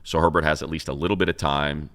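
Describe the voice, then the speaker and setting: Guy w super deep punchy voice, sports show host breaking down football, chatty, thinks out loud. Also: fairly even intonation, quick pace. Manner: conversational, thinking aloud, repeats himself. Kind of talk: casual football analysis.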